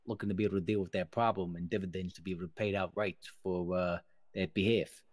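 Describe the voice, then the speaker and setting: A man plays a mafia underling in an online roleplay. His voice is very low and closely recorded with little room sound, and he lays out business in winding, euphemistic wiseguy talk. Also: fairly even intonation, fast, a little mellow, no echo, lightly acted. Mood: probing. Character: scheming, businesslike mobster underling reporting to boss. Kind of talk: improvised online crime roleplay.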